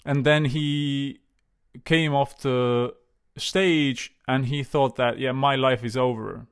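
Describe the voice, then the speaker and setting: Man, mid-pitched voice, casual talk, halting delivery, restarts often, relaxed storyteller. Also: smooth. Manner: hesitant, many fillers and restarts. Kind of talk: casual conversation.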